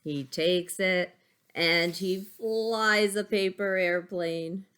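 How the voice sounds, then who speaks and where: A woman's voice: on the low side, drawn-out words, fairly bright tone, clean tone. A woman schoolteacher reading a picture book aloud to young pupils, using simple phrasing and stopping to ask them questions. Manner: simple child-directed phrasing, checking questions.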